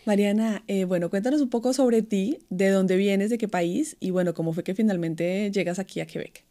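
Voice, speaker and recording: A woman interviewer with a mellow, relaxed voice. She poses long, winding questions and leaves plenty of room for her guest. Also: mid-range voice, moderate pace.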